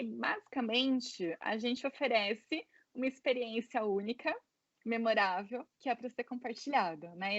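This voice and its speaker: A woman's voice: fairly high pitch, a bit bright, no echo, dull audio. A Brazilian woman in her thirties with a Brazilian Portuguese accent, telling her story as an interview guest in casual, filler-heavy talk. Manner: chatty, many fillers like "né" and "é".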